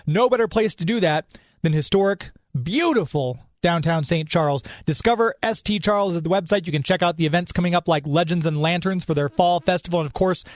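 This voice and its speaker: A man's voice: high voice, fairly quick, no room sound, studio quality. A man hosting a radio show in a chatty, informal, off-the-cuff way, moving between casual talk and sponsor reads.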